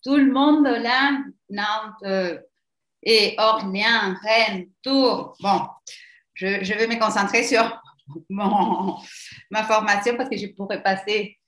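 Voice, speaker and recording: Argentine-accented woman, psychologist leading a webinar, halting delivery, reverberant room. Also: medium pitch, steady tone, fairly bright tone. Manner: halting, searching for words. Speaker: psychologist presenting a training. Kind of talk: webinar presentation. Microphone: video call. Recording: roomy echo.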